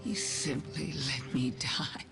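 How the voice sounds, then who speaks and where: A cunning, wistful alien witch queen, speaking very slowly in hushed, measured phrases. It is a theatrical video game monologue under loud music. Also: rumbling low, steady tone, vocal fry, full character voice. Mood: wry, fading.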